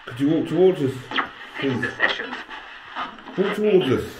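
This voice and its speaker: A man's voice: on the high side, measured pace, reverberant, heavy background noise. A man in his thirties steadily asking a spirit to come forward in a small abandoned farmhouse, picked up by a camera held by someone else. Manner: steady, coaxing requests. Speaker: paranormal investigator.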